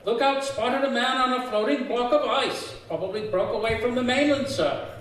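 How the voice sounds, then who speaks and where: A man playing a brisk, dutiful Arctic sailor in old-time radio adventure style. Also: very high voice, a bit bright. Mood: alert. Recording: very echoey live hall, distant mic.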